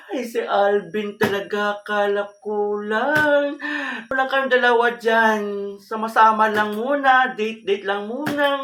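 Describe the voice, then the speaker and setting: A woman playing a concerned mother who gently scolds and consoles, fussing with repeated questions and reassurances in an amateur solo drama. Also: a little high. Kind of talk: amateur solo monologue acting. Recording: roomy, distant microphone, faint noise and clicks.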